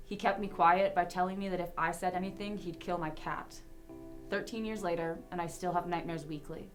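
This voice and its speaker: A young adult woman, a student, reading a personal account aloud. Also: on the low side. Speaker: student reader.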